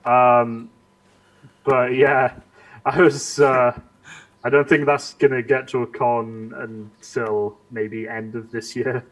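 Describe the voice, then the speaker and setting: A young man chatting casually on a live stream, rambling along in a halting way with lots of restarts. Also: mid-range voice, level tone, rough recording.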